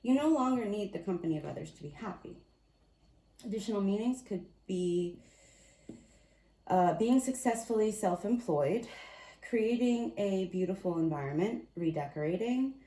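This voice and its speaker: A woman with a slightly raspy voice giving a casual tarot card reading, chatty and often thinking aloud as she corrects herself. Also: medium pitch, lively intonation, normal speed, warm tone, reverberant, low-quality recording.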